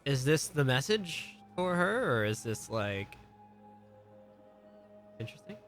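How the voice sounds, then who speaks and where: A man's voice: mid-range voice, very animated, takes it slowly, fairly bright tone. Male game streamer musing aloud over music. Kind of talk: casual live gameplay commentary.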